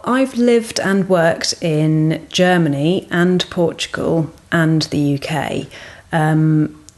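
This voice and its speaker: A woman's voice: low voice, a little mellow. A middle-aged woman giving a simple personal account of her life experiences. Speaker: interviewee.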